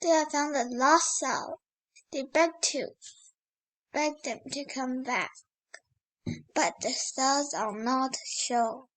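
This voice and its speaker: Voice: high-pitched, slow pace, long pauses, a bit bright, rough recording. A child hosting a read-aloud, reading a picture book and breaking off to exclaim over the pictures with wonder.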